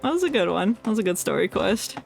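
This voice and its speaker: A woman's voice: mid-range voice, sing-song, fast, vocal fry. A woman giving casual live reactions as she streams a video game, with music behind her.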